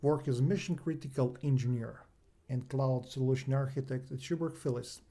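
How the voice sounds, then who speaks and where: Male online tech trainer, native Serbian speaker with Serbian accent. Warm, relaxed timbre, explanatory delivery, slightly halting phrasing. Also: mid-range voice. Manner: halting, non-native English phrasing with self-posed questions. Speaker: cloud engineer and trainer presenting an online session. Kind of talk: explanatory technical presentation.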